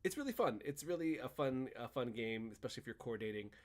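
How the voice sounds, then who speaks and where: A man co-hosting a casual chat, recapping a video game in a rambling, animated storytelling way. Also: mid-range voice, fast talker. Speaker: podcast co-host.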